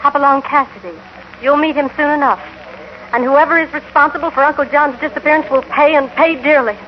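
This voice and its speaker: Actress playing young rancher's niece, very high voice, earnest, defiant, dramatic 1950s radio western, noisy vintage recording. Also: female voice, fairly even intonation, fairly quick, highs cut off, lightly acted. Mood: worried, resolute. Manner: pleading, emphatic, dramatic.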